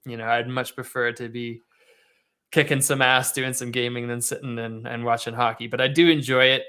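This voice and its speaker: Bright-voiced Canadian man chatting casually. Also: mid-range voice. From born in Canada, grew up in Australia. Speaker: podcast guest and livestreamer. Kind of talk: casual chat.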